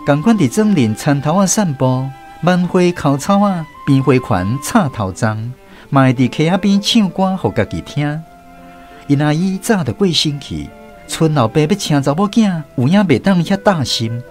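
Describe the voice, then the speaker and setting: Higher-pitched Taiwanese man narrating, lively. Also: normal speed, soft background music. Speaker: narrator of a radio drama. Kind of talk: scripted first-person storytelling.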